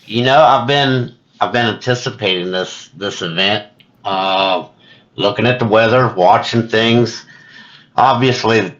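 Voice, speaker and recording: A man's voice: lowish voice, a bit bright, dull audio. A man, a guest angler, talking casually and giving his fishing outlook in an interview over a remote call. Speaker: podcast guest, tournament angler.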